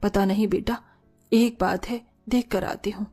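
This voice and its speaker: A woman's voice: mid-range voice, steady tone, measured pace, dark tone, slightly rough, soft background music. A woman voicing a caring but firm, scolding middle-aged mother-in-law in a cartoon family drama. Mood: concerned. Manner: reproachful, motherly.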